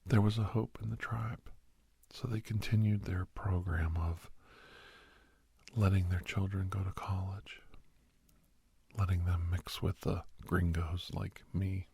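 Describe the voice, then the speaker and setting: A man playing a kindly older doctor in an ASMR roleplay, reminiscing and reassuring in a soft, breathy, gravelly whisper-talk. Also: low voice, level tone, no room sound, exaggerated voice. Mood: nostalgic. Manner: slow, rambling, gentle, chuckling storyteller.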